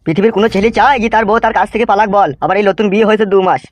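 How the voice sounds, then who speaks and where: A man's voice: high-pitched, very fast, no room sound, close to an everyday voice. A man voicing a crude, henpecked young husband who complains in exasperation in a comedy cartoon, with a rural West Bengal accent. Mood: complaining.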